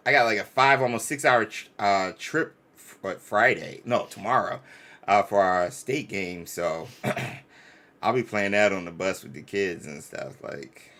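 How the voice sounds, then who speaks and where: Bright-voiced, slangy man chatting on stream. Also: lowish voice, unhurried. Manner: enthusiastic, slangy, repeats himself for emphasis. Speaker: co-host of a reaction stream. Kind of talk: casual live reaction chat.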